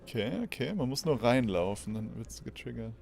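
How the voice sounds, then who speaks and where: A man's voice: medium pitch, expressive, fairly quick, vocal fry, a little mellow. A man giving casual, informal, jokey commentary as he streams a game. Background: game music.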